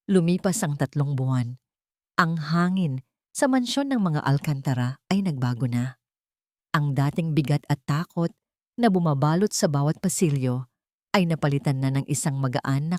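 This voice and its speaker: A woman's voice: low-pitched, a little mellow, dry recording, clean studio sound. A woman reading a scripted, dramatic audio story as its storyteller.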